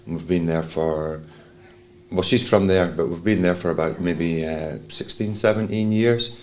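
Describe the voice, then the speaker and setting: A man's voice: low voice, fairly even intonation, normal speed, a little mellow, slight background noise. A middle-aged man with a Scottish accent, a flamenco guitarist talking in a rambling way with many fillers. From Scotland.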